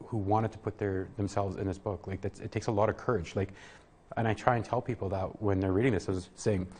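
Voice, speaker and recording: A man's voice: lowish voice, fairly even intonation, a little fast, darkish tone, breathy edge. A man answering interview questions earnestly, often restarting his sentences. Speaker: guest, program worker.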